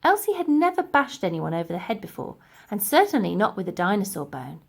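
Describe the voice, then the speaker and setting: A woman's voice: medium pitch, big pitch swings, fairly quick, creaky, breathy edge. A woman narrating a children's storybook expressively as its reader.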